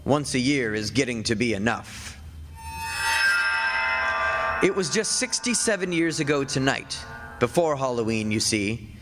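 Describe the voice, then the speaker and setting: A man's voice: medium pitch, sing-song, unhurried, pauses often. An actor playing a weary, ageless man married to a witch in a radio play, wry and reflective, at times sheepish and evasive. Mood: wry, reminiscing. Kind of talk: radio play acting with first-person narration. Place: on stage. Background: music.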